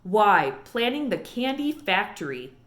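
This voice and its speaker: A woman's voice: mid-range voice, very animated, a little slow, fairly bright tone. A woman voicing an elderly lady librarian telling a family story in a storybook, in a proper, emphatic storyteller's manner. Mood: firm, proud.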